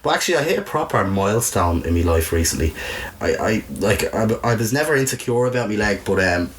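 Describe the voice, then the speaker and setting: A man's voice: fairly low pitch, expressive, fairly quick. A man with a Dublin accent telling personal stories in a candid, informal, sweary way, peppered with "you know". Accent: Dublin Irish. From Ireland. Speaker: interview guest, video maker. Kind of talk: casual personal storytelling. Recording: noticeable background noise.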